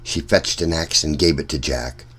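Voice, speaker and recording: A man reading a children's story aloud as narrator, with deliberate, measured phrasing. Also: extremely low pitch, fairly bright tone, breathy edge.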